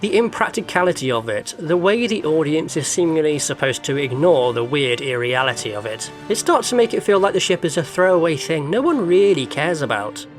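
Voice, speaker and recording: A man's voice: a little high, very animated, fairly quick, hardly any pauses. A man presenting scripted opinion commentary as a video essay host, with a wry, critical manner. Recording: background music behind voice. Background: music.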